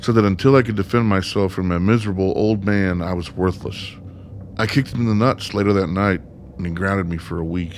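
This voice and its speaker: Male actor as sardonic, foul-mouthed son, radio play, low register, faintly noisy track. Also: brisk, lightly acted. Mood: bitter. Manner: blunt, sardonic. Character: bitter, sarcastic, foul-mouthed son of an ailing father.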